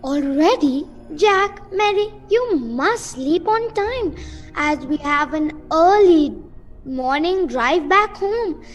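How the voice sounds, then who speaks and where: A woman's voice: extremely high pitch, animated, slow, faint music behind, heavily acted. A woman acting a chatty, gushing, oblivious mother in an amateur radio play, rambling and exclaiming. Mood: brisk.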